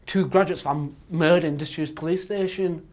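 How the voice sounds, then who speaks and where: A man's voice: fairly high pitch, steady tone, normal speed, darkish tone, close to an everyday voice. A young man playing a nervous, sarcastic literature graduate who worries aloud and needles his friend. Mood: nervous jabs. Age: young adult. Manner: anxious, dry sarcastic jabs. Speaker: actor in amateur short film.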